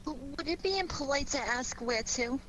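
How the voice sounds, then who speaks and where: Woman as radio-drama detective's sidekick, high pitch, perky, teasing, slight background noise. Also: lively intonation, normal speed, fairly bright tone. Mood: curious, teasing.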